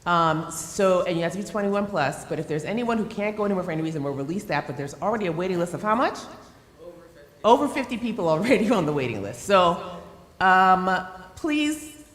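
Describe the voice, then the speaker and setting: A woman's voice: fairly low pitch, animated, a little fast. A woman hosting a live show, playfully teasing and chatting back and forth with her audience. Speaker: host. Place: event space with a live audience. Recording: echoey hall.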